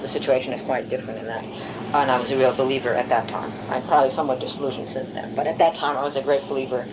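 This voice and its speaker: A woman's voice: a bass voice, steady tone, quick pace. Middle aged lady from hungary, english not her first language, professor reminiscing in an interview, rambly but precise, noisy hum behind her. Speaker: university professor being interviewed. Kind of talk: oral history interview, recollection.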